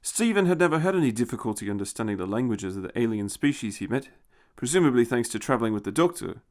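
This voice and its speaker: A man's voice: mid-range voice, animated, fast, darkish tone. A man reading a scripted science fiction story as its narrator.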